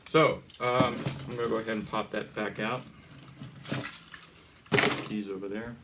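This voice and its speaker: A man presenting a hobby gear tutorial in a casual, unscripted way, with halting, rambling explanations. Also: fairly low pitch, fairly even intonation. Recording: noticeable background noise with clicks.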